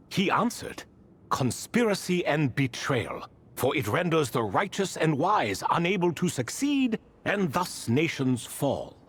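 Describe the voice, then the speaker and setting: An ancient bird-spirit king for a fantasy game, proud and whimsical. His fairly high voice swoops dramatically and takes its time over grand, old-fashioned storytelling, with music faintly behind. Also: a bit bright, slight background noise, heavily acted. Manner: theatrical, storytelling, archaic grand phrasing. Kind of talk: scripted game dialogue.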